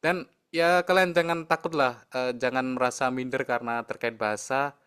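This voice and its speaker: Smooth-voiced man with a Javanese accent. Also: medium pitch, moderate pace. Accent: Javanese Indonesian. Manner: hesitant, many filler sounds, relaxed chatty. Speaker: exchange program speaker. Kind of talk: casual online sharing session.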